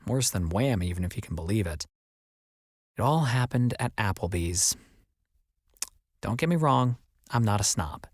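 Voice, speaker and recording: A man reading as an audiobook narrator, in an expressive scripted storytelling style. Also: lowish voice, measured pace, long pauses, no room sound, studio quality.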